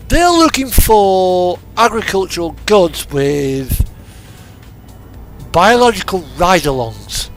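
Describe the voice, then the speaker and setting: A man with a slightly high, very animated voice and a noticeable creak. He gives casual, rambling game commentary, thinking aloud as he drives. Music plays behind him along with vehicle sound. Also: takes it slowly. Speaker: video game streamer narrating a truck simulator drive. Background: music and vehicle engine sound.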